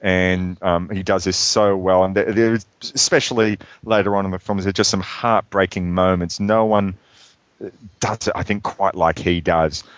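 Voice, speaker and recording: Very deep-voiced man, rambling. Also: steady tone, no room sound, lo-fi sound. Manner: rambling, halting, many fillers and restarts. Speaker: podcast co-host reviewing films. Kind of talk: casual film chat.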